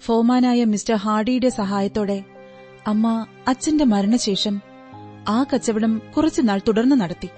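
A woman acting an earnest, high-voiced, talkative client. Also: a little slow, mellow, lightly acted. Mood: candid, explaining. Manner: polite, earnest, explaining in detail. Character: earnest, talkative unmarried woman client, polite but indignant. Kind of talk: radio drama acting. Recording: radio drama with music behind. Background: music.

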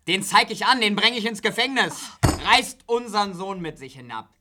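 A man's voice: high voice, expressive, noisy background. Stiff, awkward middle-aged father. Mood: outraged. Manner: halting, stiff, paternal. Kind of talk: radio play dialogue.

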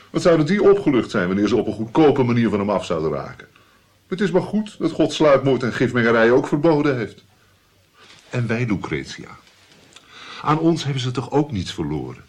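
An actor playing a cynical, witty court musician in a radio drama, with a dry, mocking, knowing manner. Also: male voice, mid-range voice.

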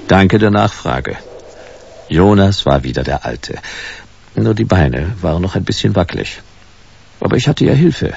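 A wry private detective in a radio crime play. The voice is deep and creaky, dryly ironic in short clipped phrases, with lively melody over a slightly muffled recording. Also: male voice, full character voice. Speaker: detective and narrator. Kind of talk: radio play, first-person noir narration.

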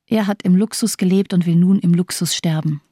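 Radio drama: jaded female physician, sardonic and eloquent, subdued dark timbre, very dry close sound. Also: fairly low pitch, brisk, breathy edge, no echo. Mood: bitter, sardonic. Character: bitter, cynical morphine-addicted female hospital doctor.

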